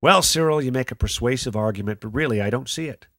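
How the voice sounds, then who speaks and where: A man's voice: mid-range voice, fast talker, fairly bright tone, no room sound. A man playing a skeptical, sardonic radio call-in host in a radio play, with a dry, challenging manner. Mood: doubtful, exasperated. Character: skeptical male late-night conspiracy radio call-in host.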